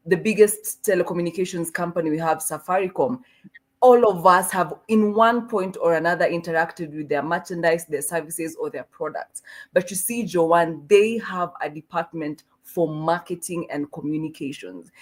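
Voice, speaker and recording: Woman host, kinda low voice, earnest, lots of "yeah"s and examples. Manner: affirming, earnest, makes points with analogies. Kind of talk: panel conversation.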